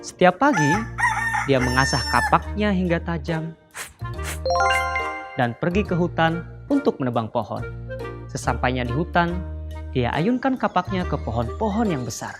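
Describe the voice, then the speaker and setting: A woman telling a children's story in an animated, sing-song way, addressing the children directly. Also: sits low, normal speed, pauses often, over loud music.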